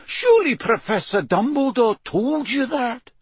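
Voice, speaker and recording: Man doing a storybook witch schoolmistress, female, high-pitched, proper, indignant, lively swooping pitch, dry sound. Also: unhurried, slightly rough, no room sound, heavily acted. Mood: weakly disapproving.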